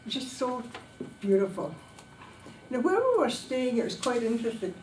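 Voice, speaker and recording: Woman public speaker, slightly high voice, dramatic storytelling in short emphatic phrases, noisy room recording. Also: big pitch swings, normal speed, darkish tone. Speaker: club speaker giving a prepared speech. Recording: distant, echoey room recording with background noise.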